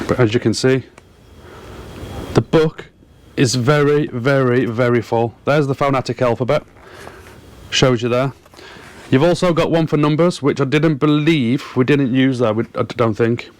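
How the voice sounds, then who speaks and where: A man's voice: mid-range voice, normal speed, pauses often, slight background noise. A man talking casually to viewers as a boating vlogger, earnest and emphatic, often repeating words to stress a point.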